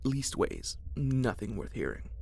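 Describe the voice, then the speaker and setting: A man voicing a weathered old soldier who speaks of a cursed charm in a hushed, offhand way. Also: mid-range voice, sing-song, measured pace, creaky, voice pushed up, exaggerated voice. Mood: guarded.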